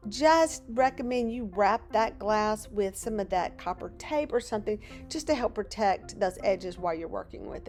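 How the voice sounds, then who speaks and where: A middle-aged woman from Louisiana, hoarse and losing her voice, chatting in a rambling, self-deprecating way as she narrates a crafting video from her home studio. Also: on the high side. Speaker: craft channel host.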